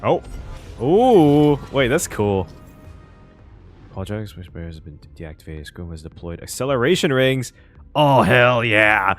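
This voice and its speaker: Excitable man reacting to a game. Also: mid-range voice, measured pace. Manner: excitable, exclaiming, swearing, thinking aloud. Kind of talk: live game reaction commentary. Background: game music.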